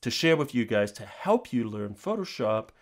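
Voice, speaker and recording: A man's voice: medium pitch, big pitch swings, normal speed. A middle-aged man, chatting informally and in a rambling way to his viewers as a channel host. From New Zealand. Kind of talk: casual talk to viewers.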